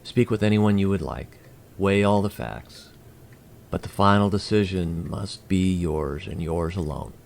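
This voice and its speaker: A man playing a courteous alien envoy in an audio drama, speaking formally and deliberately with precise wording. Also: low-pitched, gravelly, a little mellow, a little hiss or hum. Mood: formal, firm. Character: courteous, measured male alien envoy from Kree. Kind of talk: scripted audio drama acting.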